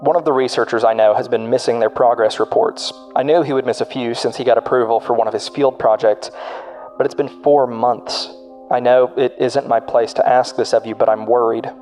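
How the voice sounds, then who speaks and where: Worried researcher voiced by a man: medium-pitched, uneasy, confiding, plain-spoken, faint music beneath. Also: fairly even intonation, a little fast, darkish tone, lightly acted. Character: anxious male researcher secretly writing worried messages. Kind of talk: read-out in-story message.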